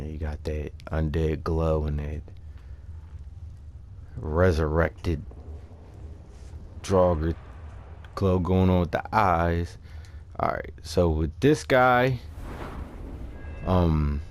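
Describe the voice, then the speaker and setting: A man giving casual, unscripted gameplay commentary, haltingly thinking aloud as he explains things. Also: deep voice, darkish tone. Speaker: gamer presenting a character build.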